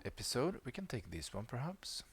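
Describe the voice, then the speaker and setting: A man's voice: medium pitch, big pitch swings, a little fast, slightly rough. A man hosting a gaming video with casual let's play commentary, thinking aloud as he plays. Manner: thinks aloud while playing.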